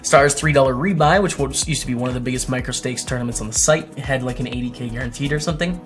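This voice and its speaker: A man with a mid-pitched voice hosts in a casual style. He moves between reading written entries aloud and dropping in wry, chatty commentary. Music plays behind him. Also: fast. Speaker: host, poker streamer. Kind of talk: casual commentary while reading old blog posts aloud.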